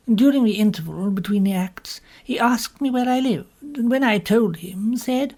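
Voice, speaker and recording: A woman narrates an audiobook short story. Her delivery is formal and rather prim, with the measured phrasing of scripted storytelling. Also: on the high side, darkish tone.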